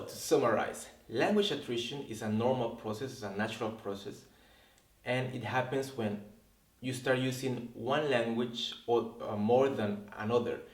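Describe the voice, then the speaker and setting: A man whose first language is Spanish, a language teacher hosting a reflective talk to camera, earnest and often repeating words as he searches for them. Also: mid-range voice. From Latin America. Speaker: language teacher and video host. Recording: roomy, distant sound.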